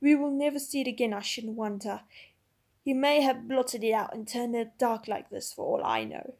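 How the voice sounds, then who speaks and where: A woman's voice: fairly high pitch, moderate pace, darkish tone. Brief: dogged, gloomy marsh creature, struggling for air, read by a woman. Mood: stubborn. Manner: stout, short of breath. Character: gloomy, stubborn male marsh-wiggle.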